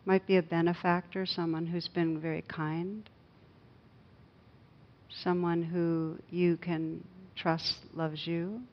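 A woman meditation teacher leading a guided loving-kindness meditation in a gentle, hushed, unhurried manner with long pauses. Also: on the low side, little pitch movement, dull audio.

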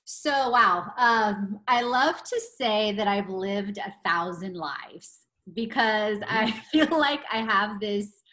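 A woman's voice: mid-range voice, slow, pushed and bright. A woman in her forties from Poland, a podcast guest talking enthusiastically and laughing often as she chats. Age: 40s. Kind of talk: casual interview chat.

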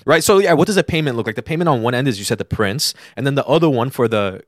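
A man's voice: medium pitch, expressive, quick pace, no echo, studio quality. A man co-hosting a casual conversation, inquisitive and given to rephrasing things as he works through them. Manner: inquisitive, summarizes and rephrases what he hears. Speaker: podcast co-host asking questions.

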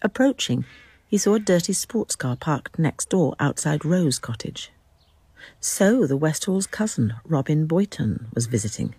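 An actress playing a measured storyteller with a mellow, husky voice in scripted literary radio drama narration. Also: female voice, sits low, sing-song, dry recording, full character voice. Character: measured third-person storyteller.

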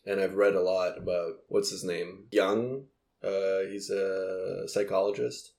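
Gravelly low-voiced man, relaxed and thoughtful. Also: measured pace. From Moldova. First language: Russian. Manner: easygoing, thoughtful, agreeable. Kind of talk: casual conversation.

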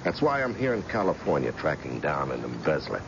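A man's voice: rumbling low, big pitch swings, brisk, full character voice. An actor playing a casual, wry male private detective and former cop in a vintage American radio drama. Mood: surprised, easygoing. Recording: dull, noisy old radio broadcast.

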